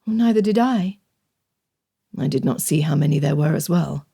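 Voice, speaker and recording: A poised young gentlewoman, well-spoken and earnest, voiced by a woman narrating a storybook. She sounds close to the narrator herself, composed and proper. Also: sits low, lively intonation, normal speed, slightly rough, voice pushed down, heavily acted.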